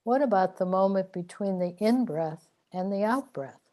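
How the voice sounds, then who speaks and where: Woman acting a weary, mellow-voiced immortal seeker. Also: medium pitch, lively intonation, moderate pace, close to an everyday voice. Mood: weary, plaintive. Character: weary immortal seeker trapped in repeating lives. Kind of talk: scripted sci-fi radio drama acting.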